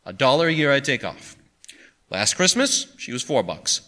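Give the storybook pawnbroker as a male narrator acts him out a weary, irritable grumble with a shrewd haggler's crispness. The voice is forceful and bright, and its pitch swings widely through folksy phrases. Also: sing-song, normal speed. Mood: proud, haggling.

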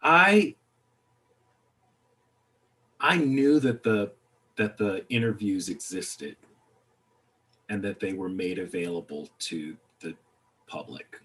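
A playwright talking about his work on a video call, reflective and halting, restarting his sentences often. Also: lowish voice, fairly bright tone, rough recording. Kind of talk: panel discussion over video call.

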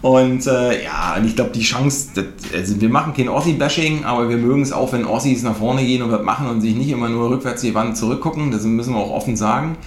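A man's voice: mid-range voice, quick pace, hardly any pauses. Man, podcast host, from northeastern Germany, chatty, roomy recording, faint background noise. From Mecklenburg-Western Pomerania, eastern Germany. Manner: chatty, many fillers and tag questions. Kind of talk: casual conversation.

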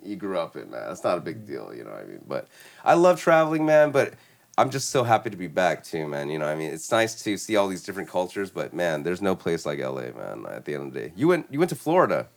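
A man's voice: low voice, huge pitch swings, quick pace, gravelly. A young American man in casual chat, laid-back and slangy, often saying "man" and "you know what I mean". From United States.

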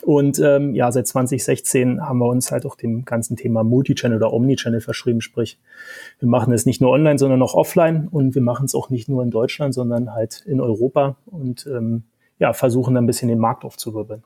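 A man with a Berlin accent talks through his career in a relaxed podcast chat. His voice is dark and mellow, with a slightly husky edge. He pauses often and leans on fillers as he thinks out loud. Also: mid-range voice. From Berlin, Germany. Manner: hesitant, many fillers. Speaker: podcast guest, tech executive. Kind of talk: casual podcast conversation.

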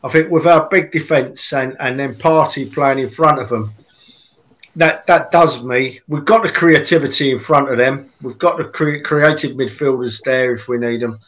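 A man, talking football in a lively, emphatic casual chat and repeating lines for effect. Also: medium pitch, normal speed, poor audio. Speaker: podcast co-host.

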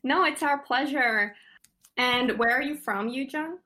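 A woman's voice: high-pitched, a little slow, bright, forceful tone, slight background noise. Young woman, podcast co-host, friendly, hesitant, fillers. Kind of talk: podcast hosting.

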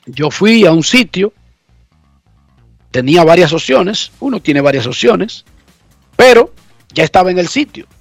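A man with a notably high voice hosts a casual radio show from his garage, sounding congested with a cold. He talks in a chatty, emphatic way and often repeats his points. The sound is dry and close, with faint music underneath. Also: sing-song, measured pace, creaky, long pauses, dry recording. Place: home garage.

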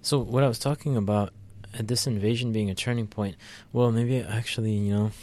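Low-voiced man co-hosting a talk show. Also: fairly even intonation, brisk, warm tone. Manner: thoughtful, many fillers and restarts. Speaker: podcast co-host. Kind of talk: casual political discussion.

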